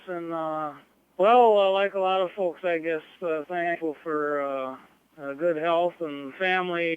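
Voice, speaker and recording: A man's voice: a little high, sharp tone, clear, resonant tone, dry recording. A man casually and hesitantly checking in over amateur radio. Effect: amateur radio transmission. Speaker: ham radio net participant. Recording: tinny phone-like radio link.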